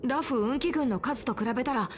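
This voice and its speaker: High, earnest boyish young subordinate voiced by a woman. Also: moderate pace, a little hiss or hum, lightly acted. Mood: questioning, eager. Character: earnest young male subordinate, eager and questioning. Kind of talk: Japanese video game voice acting.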